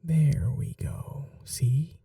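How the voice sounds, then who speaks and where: Obsessive lovestruck kidnapper, male actor, soft and breathy, slow delivery with animated pitch swings, awkwardly tender ASMR roleplay. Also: dry recording, studio quality, far from the mic, full character voice. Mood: tender, coaxing. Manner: gentle, halting, coaxing reassurance. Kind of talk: intimate ASMR roleplay, often near whispering.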